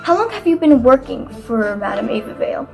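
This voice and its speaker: A woman's voice: on the high side, sing-song, brisk, vocal fry, low-quality recording. A woman playing a businesslike, inquisitive detective in a radio play, with formal, firm phrasing. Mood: probing, measured. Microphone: video call. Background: faint music.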